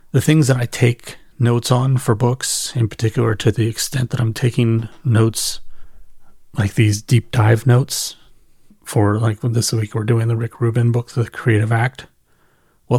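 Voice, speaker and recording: A middle-aged man with a husky voice speaks into a dry, close recording. He talks like a podcast host leaving a long, personal voice message, casual and reflective, often rephrasing as he goes. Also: medium pitch, darkish tone, no echo. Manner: conversational, thinking aloud, self-correcting. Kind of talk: casual reflective solo audio monologue.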